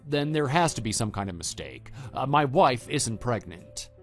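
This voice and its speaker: Level-headed husband for audio drama, male actor: measured, skeptical, mid-pitched, faint music bed. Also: very animated, close to an everyday voice. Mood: skeptical, controlled. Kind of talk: scripted texting-story radio drama.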